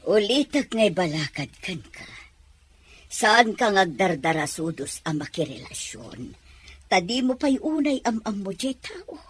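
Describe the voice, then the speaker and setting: A woman's voice: medium pitch, sing-song, measured pace, husky. A woman playing a protective, cautioning middle-aged mother in a serial drama. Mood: admonishing.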